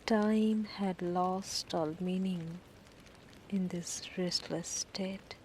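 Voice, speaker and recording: A woman narrating a guided sleep meditation story in short, deliberate phrases with long pauses between them. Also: fairly low pitch, fairly even intonation, darkish tone, a little hiss or hum, rough recording. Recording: rain ambience behind the voice. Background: falling rain.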